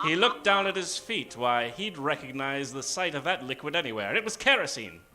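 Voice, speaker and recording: Animated, dramatic male radio narrator. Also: on the high side, faint music behind. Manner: dramatic, deliberate pauses. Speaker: narrator of improvised radio comedy. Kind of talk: old-time radio melodrama narration.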